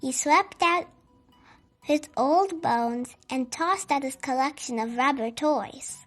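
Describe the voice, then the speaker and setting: Storybook reader for kids, high voice, unhurried expressive delivery, music underneath. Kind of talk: read-aloud storytelling for children.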